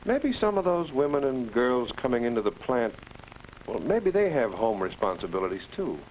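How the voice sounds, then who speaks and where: A male actor plays a plain-spoken American working man, a slightly baffled foreman in a 1940s instructional film. His mid-pitched voice swings animatedly and his talk is folksy and colloquial. The vintage soundtrack has faint noise. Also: big pitch swings, moderate pace, a little mellow, lightly acted, poor audio. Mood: musing, pleased. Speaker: factory foreman and husband.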